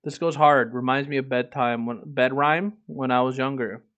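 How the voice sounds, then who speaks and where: Male streamer, average pitch, reading aloud with irreverent, profane asides, lo-fi mic. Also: steady tone, moderate pace, a bit bright. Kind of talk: reading aloud with casual commentary.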